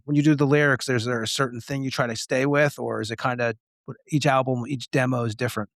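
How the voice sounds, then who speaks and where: A man's voice: medium pitch, fairly even intonation, quick pace, vocal fry, no room sound, clean studio sound. A man hosting a casual music interview, asking informal, loosely phrased questions. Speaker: podcast host, interviewer.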